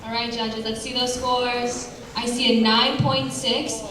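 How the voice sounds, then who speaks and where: Woman hosting, in a booming far-off hall. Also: flat delivery, a crowd in the background. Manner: upbeat, drawn-out announcing of scores. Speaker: event host. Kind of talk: announcing scores at a poetry slam. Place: large echoey hall. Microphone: far from the recording mic. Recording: very echoey hall recorded from far off.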